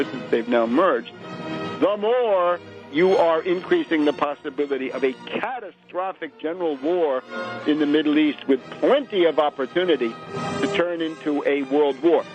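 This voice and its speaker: A man hosting political talk radio and interviewing a guest, speaking in a halting way with hesitations. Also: on the high side. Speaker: radio talk show host. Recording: lo-fi, band-limited radio audio.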